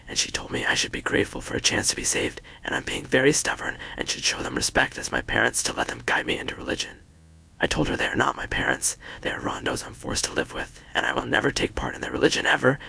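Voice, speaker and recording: Breathy whispering man reading quickly. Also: mid-range voice, pushed and bright. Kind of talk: whispered scripted narration of a first-person online story.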